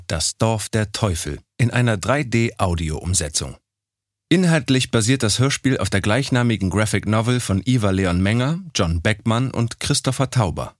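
A man presenting a special feature in calm scripted narration. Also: lowish voice, dry recording, studio quality.

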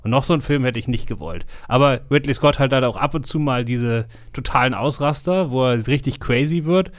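A man's voice: mid-range voice, fairly quick, no echo. A man co-hosting a film podcast in a studio, talking casually with wry, teasing remarks. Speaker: podcast co-host.

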